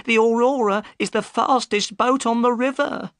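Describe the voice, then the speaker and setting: A man's voice: extremely high pitch, steady tone, normal speed, fairly bright tone, no echo, clean studio sound, pitched above the performer's own voice. A man voicing a worried, upset boatman's wife, plaintive and deferential, in an audiobook story. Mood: subdued, polite.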